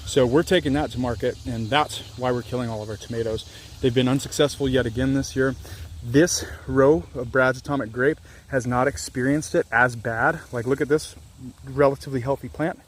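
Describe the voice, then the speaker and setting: Candid farmer talking outdoors. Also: male voice, medium pitch, fairly even intonation, fairly quick. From Louisiana, US. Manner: candid, rambling, self-deprecating. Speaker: organic farmer. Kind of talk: casual farm vlog. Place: outdoors on a farm field. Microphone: handheld camera at arm's length.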